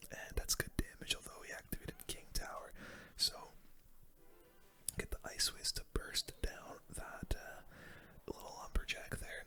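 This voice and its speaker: A man giving casual, chatty ASMR gameplay commentary in a breathy, unvoiced whisper, talking to viewers as a gaming video host. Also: very high-pitched.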